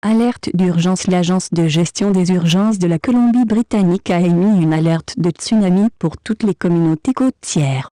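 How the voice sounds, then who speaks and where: A woman's voice: lowish voice, a little fast, hardly any pauses, warm tone, dry recording, clean studio sound. A woman reading a scripted emergency alert in a formal, impersonal manner. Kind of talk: scripted emergency broadcast announcement.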